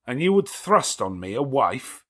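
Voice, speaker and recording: A man voicing a proud, fierce middle-aged gentleman who makes curt, forceful demands. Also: medium pitch, big pitch swings, unhurried, pitched above the performer's own voice. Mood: defiant.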